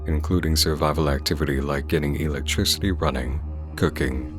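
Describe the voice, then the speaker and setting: A man delivering scripted video-essay narration in a measured, formal, articulate way. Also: extremely low pitch, steady tone. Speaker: host of video essay channel on horror series. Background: music under the voice.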